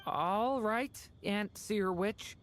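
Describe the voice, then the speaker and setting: A woman playing a polite, earnest, gentle young boy in a video game. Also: medium pitch, very slow, slight background noise. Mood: eager, curious. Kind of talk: video game character acting.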